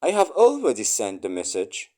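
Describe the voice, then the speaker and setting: A man reading short English phrases aloud for learners, saying each one three times slowly and clearly. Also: mid-range voice, huge pitch swings, darkish tone.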